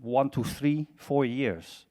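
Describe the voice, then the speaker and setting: Male panelist, mid-pitched, plain-spoken with hesitant phrasing. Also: slow pace, warm tone. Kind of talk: live panel discussion.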